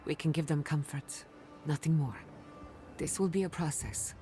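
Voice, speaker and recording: A low female voice from an actress plays a composed, resolute envoy in a fantasy game cutscene. Faint music runs underneath. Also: moderate pace, mellow, lightly acted. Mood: calm, firm. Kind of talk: video game cutscene dialogue.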